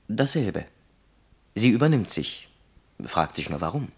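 An actor playing a detached, lecturing factory psychologist in a radio play, analytical, pedantic and wryly sceptical. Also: male voice, fairly low pitch, sing-song, measured pace, husky, exaggerated voice. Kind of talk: German radio play acting.

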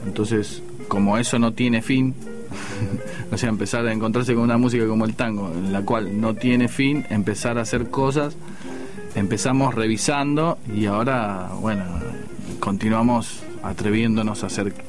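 Low-voiced Rioplatense musician, thoughtful. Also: male voice, steady tone, normal speed, over music. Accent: Rioplatense Spanish. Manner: thoughtful, hesitant, many restarts. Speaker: guest musician, guitarist. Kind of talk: radio interview answers. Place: radio studio.

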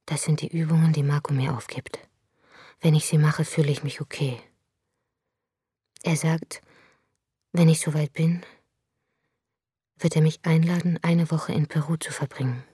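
An actress playing a weary, inward-turned woman writer, narrating her thoughts in a hushed, near-whispered monologue for a radio drama. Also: sits low, husky, no room sound, clean studio sound, full character voice.

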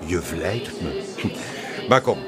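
A man's voice: expressive, extremely slow, over music, exaggerated voice. An actor playing a genial, worldly older man, chuckling and avuncular, in a radio drama. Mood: jovial, welcoming. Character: genial, wealthy older widowed expatriate man, worldly and talkative. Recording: noisy outdoor setting with vehicle rumble.

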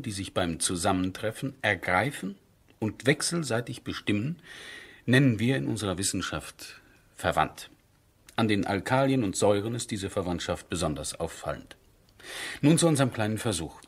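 An actor playing an earnest, courteous military captain with a scientific bent, speaking measured, formal in a 1970s radio drama. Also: male voice, on the low side, very animated, husky, full character voice. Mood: lecturing.

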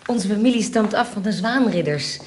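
An actress playing a cunning, self-assured female swindler in a Dutch radio play, poised and smooth-talking. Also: mid-range voice, lively intonation, normal speed, darkish tone, slight background noise, natural voice. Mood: poised, courteous. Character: cunning, self-assured female con artist posing as decorator.

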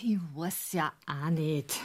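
A woman's voice: deep voice, slow, a little mellow. An actress playing a blunt, suspicious village farm woman with a Bavarian accent in a German radio crime drama. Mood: weary, blunt. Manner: plain-spoken, probing.

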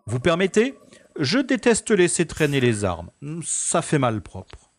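A man's voice: fairly high pitch, wildly swooping pitch, takes it slowly, dry recording, full character voice. A man playing an ironic, nonchalant special agent who narrates his own adventure in an audio drama. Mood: calm. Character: wry, cocky special agent, adult man. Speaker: narrator-hero.

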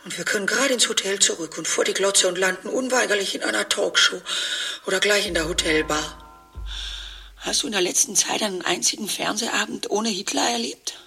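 A garrulous, agitated wife in a satirical radio drama. Her voice is bright and forceful with a husky rasp. She rambles indignantly and breaks off mid-sentence. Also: fairly low pitch, exaggerated voice. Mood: sardonic, resigned. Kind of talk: satirical radio play monologue.

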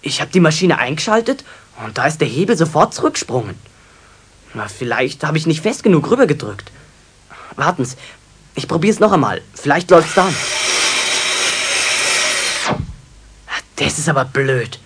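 A good-natured young apprentice in a 1970s children's radio play. He speaks eagerly and politely with a Bavarian accent. The voice is a little husky and moves up and down freely. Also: on the high side, big pitch swings, fairly quick, a bit bright, full character voice. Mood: puzzled. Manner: chatty, earnest, polite.

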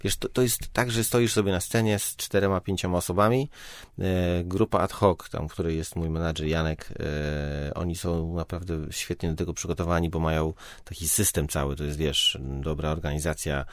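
A man's voice: deep voice, fairly even intonation, moderate pace, no room sound, clean studio sound. A man talking casually as a guest, informal and hesitant, thinking aloud and letting sentences trail off. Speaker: podcast guest, stage comedian and improviser. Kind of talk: casual conversation.

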